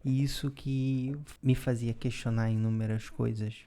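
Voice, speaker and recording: A man, co-hosting a podcast and explaining things in a calm, casual way, often ending with a questioning tag. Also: medium pitch, measured pace. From Brazil. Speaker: podcast co-host. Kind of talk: casual conversational storytelling.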